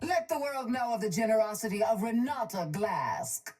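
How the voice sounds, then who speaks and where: An actress playing a cold, posh, ruthless crime-boss tycoon in a video game, speaking in a haughty, deliberate, menacing way. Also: female voice, fairly high pitch, big pitch swings, a little mellow. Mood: dry, imperious. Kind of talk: video game character voice lines.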